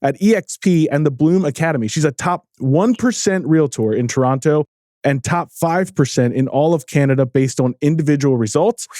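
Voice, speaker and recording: A man's voice: mid-range voice, warm tone, no echo, studio quality. A man co-hosting a sales podcast, upbeat as he reads reviews and guest introductions. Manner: upbeat, reads promos and bios. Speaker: podcast co-host.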